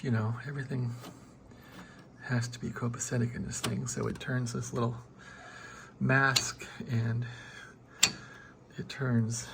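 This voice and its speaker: A man's voice: mid-range voice, vocal fry, noisy background. An American man giving a casual, unscripted show-and-tell as a hobbyist, talking in a rambling, folksy, colloquial way into a handheld phone. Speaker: radio hobbyist showing a restoration project.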